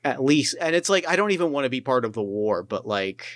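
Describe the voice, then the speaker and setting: A man with a smooth, resonant voice runs a tabletop game and chats through it casually. He talks loosely, with plenty of fillers and restarts. The sound is dry and close, with no room around it. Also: medium pitch, very animated, brisk, no echo. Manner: rambling, many fillers and restarts. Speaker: game master. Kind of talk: casual tabletop play and narration.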